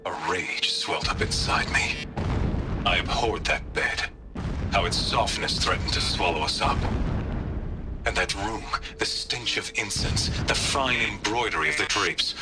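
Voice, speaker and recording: An actor voicing a grieving, stern warrior widower in a game, speaking slowly and solemnly. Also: male voice, on the low side, background noise. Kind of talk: game voice acting, recorded monologue.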